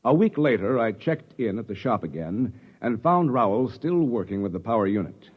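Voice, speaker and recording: An actor playing a cautious, self-justifying middle-aged American executive, formal and blunt, in 1950s radio drama style. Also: male voice, fairly low pitch, sing-song, normal speed, breathy edge. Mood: formal, defensive. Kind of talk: 1950s radio drama acting, part testimony, part dialogue. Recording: dull vintage 1950s radio recording.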